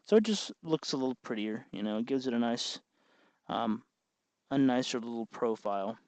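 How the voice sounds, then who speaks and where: A man hosting a hobby tutorial, explaining each step in an informal, folksy, unhurried way. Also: medium pitch, expressive, a little mellow, no room sound. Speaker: host of a hobby electronics tutorial. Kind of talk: informal hands-on tutorial narration.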